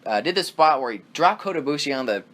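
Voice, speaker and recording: A man co-hosts a loose wrestling review chat, rambling with excitement and dropping casual swears. His voice bounces around a lot in pitch and has a bright, forward tone. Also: fast talker. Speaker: podcast co-host.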